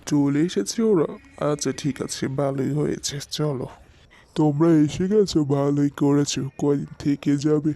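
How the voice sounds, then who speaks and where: Man acting a mellow, creaky village husband. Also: on the high side, normal speed, close to an everyday voice. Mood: easygoing. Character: easygoing Bengali village husband fond of food. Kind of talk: Bengali cartoon fairy-tale voice acting.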